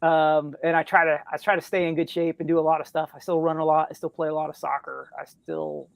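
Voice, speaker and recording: A man with a squeaky voice chatting casually as a guest author on a podcast. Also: flat delivery, fast talker, vocal fry, no room sound. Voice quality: high, squeaky voice.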